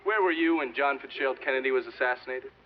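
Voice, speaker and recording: An actor playing a defiant, haunted Vietnam veteran in a video game, giving forceful, confrontational testimony at a hearing. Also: male voice, medium pitch, fast, muffled recording, a little hiss or hum, natural voice. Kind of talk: dramatic hearing testimony, acted.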